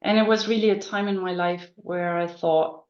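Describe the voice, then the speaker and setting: A woman's voice: on the low side, fairly even intonation, moderate pace, darkish tone. German woman, echoey and muffled. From northern Germany. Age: middle-aged. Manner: thoughtful, many ums and restarts. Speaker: guest, business coach. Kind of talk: casual interview. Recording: echoey dull-sounding room.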